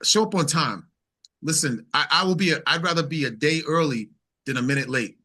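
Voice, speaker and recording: A man with a fairly high, sharp-edged voice talks as a singer-songwriter being interviewed. He is conversational but emphatic, often restating a phrase to drive it home. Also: a little fast. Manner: emphatic, repeats phrases as he builds points.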